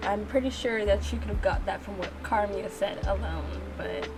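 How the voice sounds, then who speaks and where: A woman hosting a gameplay reaction, talking casually and haltingly as she thinks aloud and repeats words. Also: mid-range voice, over music, low-quality recording. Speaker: gaming channel host reading visual novel dialogue aloud.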